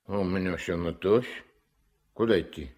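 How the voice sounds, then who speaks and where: An actor playing a gruff, stubborn, dry-witted riverboat captain with a folksy, grumbling manner in a 1970s Soviet radio play. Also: male voice, low voice, moderate pace, darkish tone, close to an everyday voice. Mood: wary. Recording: old mono radio play recording.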